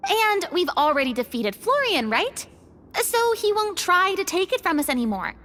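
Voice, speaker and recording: A woman's voice: very high voice, normal speed, full character voice. An actress voicing an eager, naive young girl adventurer in a video game, earnest and full of curiosity. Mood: curious, eager. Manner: animated, earnest, inquisitive. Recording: music behind the voice.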